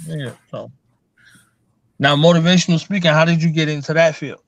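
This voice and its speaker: A man's voice: fairly high pitch, fairly even intonation, moderate pace, creaky, dry recording. A man hosting an interview, talking in an encouraging way and often asking "you see what I'm saying?". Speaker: interview host. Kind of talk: conversational interview.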